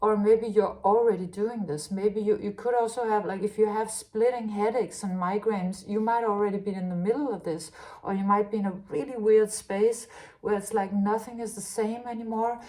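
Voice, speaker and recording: A woman from Denmark giving a tarot reading in a halting, musing way, with many restarts and fillers. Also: medium pitch, steady tone, darkish tone. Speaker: tarot reader. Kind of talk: spontaneous spiritual tarot reading.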